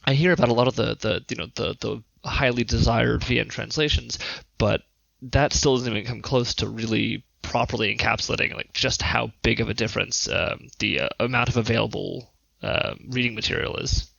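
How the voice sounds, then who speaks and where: A man's voice: mid-range voice, a little fast, creaky, a bit bright, no room sound. A man chatting casually on a podcast, thinking aloud and qualifying his points as he talks. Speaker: podcast speaker. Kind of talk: casual chat. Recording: dry, slightly dull sound.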